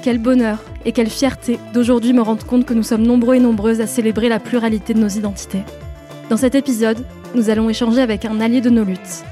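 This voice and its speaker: Female podcast host from France, slightly high mellow voice, speaking personally and directly to listeners. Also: fairly even intonation, brisk. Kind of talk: podcast introduction and interviewing. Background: music.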